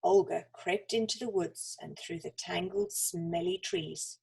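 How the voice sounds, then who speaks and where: A woman reads a children's story expressively, with dramatic pauses and deliberate emphasis. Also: on the low side, creaky, darkish tone, breathy edge.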